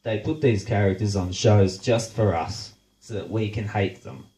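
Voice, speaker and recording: A man's voice: on the low side, normal speed, dark tone, roomy sound, low-quality recording. An Australian man with a blocked, nasal voice, hosting a blunt, sarcastic rant full of swearing. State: congested, nasal from a blocked nose. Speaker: show host. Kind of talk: casual profane rant.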